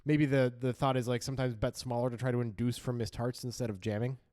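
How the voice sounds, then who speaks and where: A man's voice: medium pitch, flat delivery, fast talker, a little mellow, dry recording. Male podcast co-host, wry and casual, mid-range voice, close dry studio sound. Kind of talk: casual hand analysis commentary.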